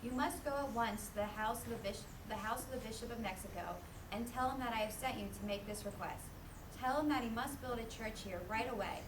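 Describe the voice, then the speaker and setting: A woman playing a sweet, gentle, regal heavenly mother in a children's puppet show, speaking with tender formality. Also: a little high, fairly quick, natural voice. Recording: distant mic, echoey noisy room.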